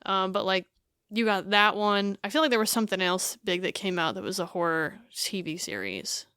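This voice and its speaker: Female co-host, smooth voice, animated and opinionated, dry close mic. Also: mid-range voice, moderate pace, dry recording. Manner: animated, opinionated, laughs often. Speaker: podcast co-host. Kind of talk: casual chat.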